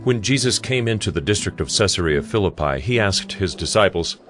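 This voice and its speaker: A man narrating scripture in an audio drama with a measured storytelling cadence. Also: low voice, expressive, a bit bright, soft background music.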